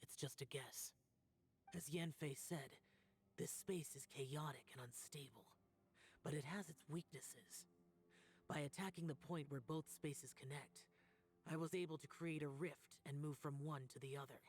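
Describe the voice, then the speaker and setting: A man playing a stern, self-sacrificing young warrior in a video game, speaking in a solemn, measured way with a husky, breathy voice. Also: fairly high pitch, fairly even intonation. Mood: somber.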